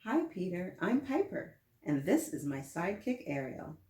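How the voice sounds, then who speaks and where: A woman's voice: fairly low pitch, big pitch swings, normal speed, mellow. A woman voicing perky, eager talking inhaler gadgets in a children's storybook, with a cheery manner. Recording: roomy, echoing room sound.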